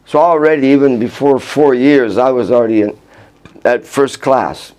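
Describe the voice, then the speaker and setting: A man's voice: medium pitch, darkish tone. Older man telling rambling stories. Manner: halting, with restarts, chuckling. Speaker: vlogger recounting his life. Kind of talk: rambling personal storytelling. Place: outdoors in Vrindavan.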